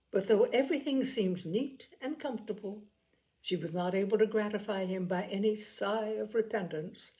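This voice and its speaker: A woman reading a classic novel aloud in a measured storytelling manner, now and then correcting a slipped word. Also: medium pitch, a little mellow, rough recording. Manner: measured storytelling, occasional slips and self-corrections. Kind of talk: audiobook narration.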